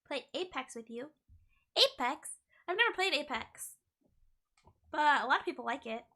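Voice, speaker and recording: A young woman streaming a game and chatting with viewers, playful and teasing, her voice a little hoarse. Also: high voice, lively intonation, moderate pace, sharp tone. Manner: playful, giggly, teasing chat. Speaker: VTuber streamer. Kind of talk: casual game stream chat, reading chat.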